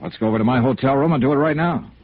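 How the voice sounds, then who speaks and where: An actor playing a shrewd, tough American insurance investigator in a vintage radio noir drama, speaking in a brisk, commanding, clipped way. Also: male voice, medium pitch, natural voice. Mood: firm, probing. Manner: brisk, commanding, clipped hard-boiled delivery. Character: shrewd, tough freelance insurance investigator, narrating his case. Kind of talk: 1950s American radio noir acting, scripted narration. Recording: tinny, noisy vintage radio recording.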